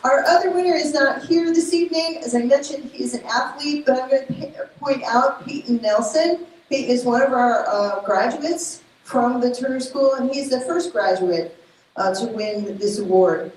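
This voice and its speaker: A woman with a Spanish accent speaking at a live event, presenting programs and awards in an informal, halting way with many fillers and self-corrections. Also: high-pitched. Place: large event hall. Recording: very echoey hall, faint background noise.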